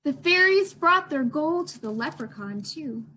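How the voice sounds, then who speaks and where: High-pitched, animated woman reading to children. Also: a little slow, slight background noise. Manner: addresses young listeners with questions and expressive delivery. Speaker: children's librarian reading a picture book aloud. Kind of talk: children's storytime read-aloud.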